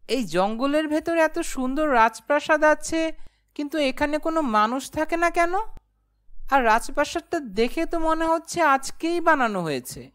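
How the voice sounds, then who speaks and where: A woman's voice: sits high, moderate pace, a bit bright. A woman voicing a timid, naive, polite young man who tells his own cartoon story in a meek, earnest way. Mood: wondering. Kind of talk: first-person cartoon storytelling and dialogue.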